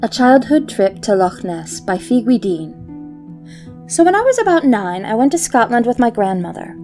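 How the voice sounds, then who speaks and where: Woman youtube host doing whimsical scripted storytelling, pitch swoops all over, kinda high voice. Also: sing-song, normal speed, a little mellow. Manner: theatrical, whimsical, playful wording. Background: background music.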